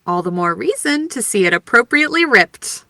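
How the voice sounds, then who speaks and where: A woman's voice: a little high, big pitch swings, normal speed, bright, forceful tone, exaggerated voice. A woman playing a flippant, theatrical young writer with a playful, sardonic manner in an audio drama. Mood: casual, wry. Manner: sardonic, playful, mock-grandiose.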